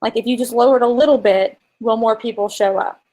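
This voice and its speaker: A woman's voice: a little high, steady tone, fast, dark tone, rough recording. A woman co-hosting a casual business coaching chat, talking informally and emphatically and explaining with examples. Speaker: co-host and marketing coach.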